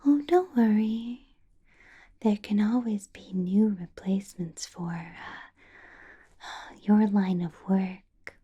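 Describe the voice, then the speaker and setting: Ancient vampire boss, female, acted by a woman: soft mellow whisper, unhurried and deliberate, falsely pleasant with menace underneath, intimate ASMR roleplay. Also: mid-range voice, highs cut off. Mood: softly menacing. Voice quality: breathy whisper. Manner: slow, deliberate, teasing, with lingering pauses.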